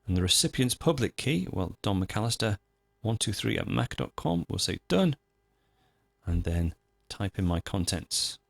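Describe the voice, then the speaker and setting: A man presenting a software tutorial, talking informally and methodically through each step as he goes. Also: fairly low pitch, sing-song, measured pace, creaky, dry recording. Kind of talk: screencast tutorial, unscripted step-by-step narration.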